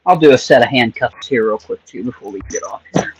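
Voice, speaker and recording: A man's voice: medium pitch, expressive, a little fast, a little hiss or hum, rough recording. A man hosting a casual livestream, joking and chatting to himself while he picks locks. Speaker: livestream host. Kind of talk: casual chat while lockpicking.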